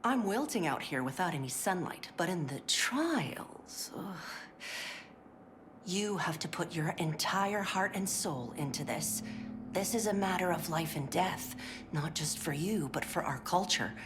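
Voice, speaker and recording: An actress playing a sugary, overly polite 1950s clinic worker who is strained and pill-addled, often slipping into whispers. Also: female voice, lowish voice, a little slow. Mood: frayed. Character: chirpy 1950s woman clinic worker, fraying. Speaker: game character voice lines. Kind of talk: scripted game voice acting. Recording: steady background noise.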